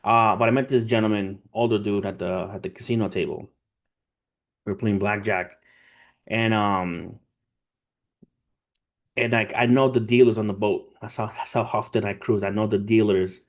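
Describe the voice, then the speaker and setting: Male livestream host, thirties, lowish voice, loose slangy delivery, profane, casual storyteller speaking straight to his followers. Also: steady tone, moderate pace, pauses often. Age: 30s. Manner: informal, street slang, profane, addresses viewers as family. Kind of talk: casual livestream chat, storytelling and motivational talk.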